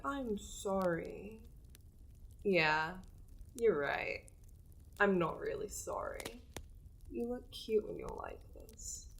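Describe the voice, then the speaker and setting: A woman's voice: a little high, slight background noise, heavily acted. A woman voicing a seductive, possessive demon of war in an intimate ASMR roleplay, teasing and domineering, often slipping toward a whisper. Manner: slow, breathy, teasing, often dropping into whispers.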